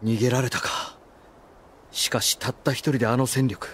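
A man's voice: medium pitch, steady tone, moderate pace, fairly bright tone, husky. A man acting a friendly, plain-spoken young swordsman in an anime audio drama. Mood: pensive. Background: faint background music.

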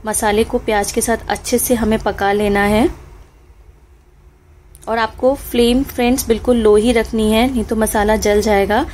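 A woman's voice: on the high side, steady tone, normal speed, a little mellow, a little hiss or hum, rough recording. A woman hosting a home cooking channel, talking listeners through a recipe step by step in a friendly, instructive way. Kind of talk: home cooking tutorial voice-over. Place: home kitchen stove.

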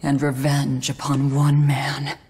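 Low, grim, vengeful young woman. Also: completely flat delivery, a little mellow, husky, exaggerated voice. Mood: bitter, brooding. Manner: grim, brooding, deliberate. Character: embittered vengeful young woman narrating her dark tale. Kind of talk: dramatic game narration.

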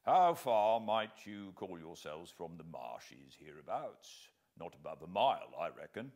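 A gruff, jovial old-school soldier as voiced by a male storyteller. He talks low and unhurried, with a bluff swing in his pitch. Commanding, then free with a toast. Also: big pitch swings, a little mellow, heavily acted. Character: gruff hearty soldier sergeant, middle-aged man.

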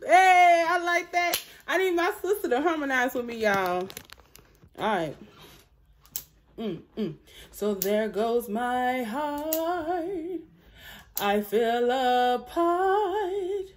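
A young woman with a deep alto voice practicing a song in her bedroom, singing along to a track and chatting to her viewers between lines. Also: lively intonation, slow, long pauses, a little hiss or hum. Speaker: vlogger learning a song. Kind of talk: casual singing practice vlog. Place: small bedroom indoors. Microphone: phone camera held close.

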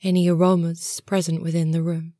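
A woman guiding a meditation in a soothing, gentle and unhurried manner, soft and breathy at the ends of phrases. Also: lowish voice, level tone, dry recording, studio quality. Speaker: yoga nidra meditation guide, channel host. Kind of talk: guided meditation narration.

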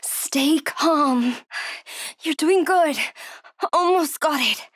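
A woman's voice: high-pitched, very slow, fairly bright tone, no room sound, clean studio sound, full character voice. An actress playing a frightened young woman survivor in a horror video game, urgent and pleading. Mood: soothing, hushed. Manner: breathy urgent self-talk, pleading.

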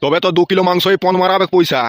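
A man's voice: high-pitched, steady tone, racing, natural voice. A man voicing a crude, scolding old village grandfather in a comedy cartoon, with a rural West Bengal accent. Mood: gruff, bossy. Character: grumbling, foul-mouthed old village grandfather on a pension. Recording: music behind the voice.

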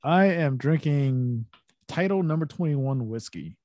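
A man's voice: medium pitch, lively intonation, a little slow, a little mellow, no room sound. Teasing man on a dry podcast mic. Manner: teasing, joking, swears freely. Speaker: podcast co-host. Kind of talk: casual chat. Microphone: video call with desk microphone.

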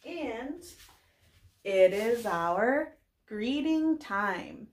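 A young woman, a preschool teacher, giving a lesson to small children in deliberate short phrases with pauses. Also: fairly high pitch, expressive. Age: young adult. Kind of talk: slow lesson for young children, filmed read-aloud greeting. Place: small indoor classroom-style room with bulletin board. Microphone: camera a few steps away. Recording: roomy echo, distant microphone.

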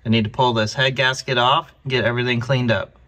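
A man calmly explaining each step of putting an engine back together, voicing over his repair work. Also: mid-range voice, fast talker. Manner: calm, matter-of-fact explaining. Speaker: host of a car restoration channel.

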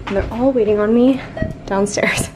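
A woman's voice: on the high side, steady tone, normal speed, over music, low-quality recording. A woman in her twenties chatting casually to camera in a rambling, apologetic way, filmed on a handheld camera in a small bathroom. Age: 20s. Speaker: vlogger. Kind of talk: casual vlog chat to camera. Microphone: handheld camera at arm's length.

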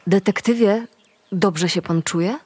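An actress playing a composed, considerate forensic investigator in an audio drama, speaking politely and plainly. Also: female voice, medium pitch, slow pace, a little mellow, husky, no room sound. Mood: concerned. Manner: matter-of-fact, polite.

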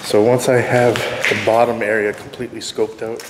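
A man's voice: fairly low pitch, little pitch movement, moderate pace. A man in his forties casually narrating to viewers as he explores, talking informally as host. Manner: informal, addressing viewers directly. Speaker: urban exploration vlogger, host. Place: inside an abandoned church, empty rooms and halls. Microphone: handheld camera at arm's length. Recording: noisy handheld camera audio in empty building.

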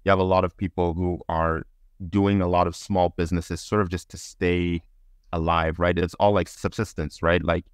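A man's voice: low voice, flat delivery, normal speed, clean tone, no room sound, clean studio sound. A middle-aged man from Zambia who later lived in Belgium, giving conversational, explanatory interview answers as a podcast guest and thinking aloud. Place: indoors on a video call.

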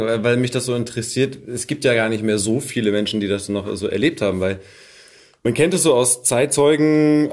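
A young man, hosting a podcast and asking questions in a casual, curious, conversational way. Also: mid-range voice. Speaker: podcast host, interviewer.

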